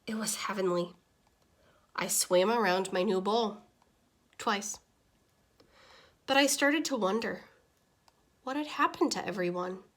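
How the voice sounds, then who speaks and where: A woman voicing a small, easily exasperated pet goldfish narrating a diary in an expressive, deliberate children's picture-book read-aloud. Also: medium pitch, lightly acted. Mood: relieved, wistful.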